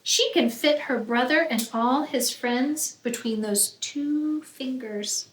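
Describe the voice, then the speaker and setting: A woman reading a picture book aloud to children like a teacher, with expressive, emphatic narration that draws out key words. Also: high voice, slow pace. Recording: roomy echo, faint background noise.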